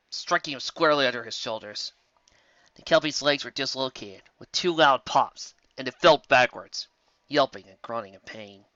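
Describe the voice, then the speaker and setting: A man narrating a story with a bright, clear tone and lots of pitch movement. His delivery is lively. The sound is close and dry, with a dull, lo-fi edge. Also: no echo. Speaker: audiobook reader. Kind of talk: fan fiction audiobook narration.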